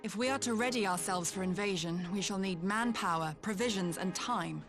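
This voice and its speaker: A woman plays a dutiful warrior retainer in a fantasy game. Her mid-pitched voice is formal, candid and stern, set against prominent music. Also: brisk, close to an everyday voice. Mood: grave, blunt. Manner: formal, blunt.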